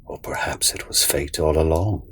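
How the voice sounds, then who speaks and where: A man playing a cryptic, sinister figure in an audio drama, with a measured, knowing, theatrical delivery. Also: extremely low pitch, a bit bright, slightly rough, in a whisper, heavily acted.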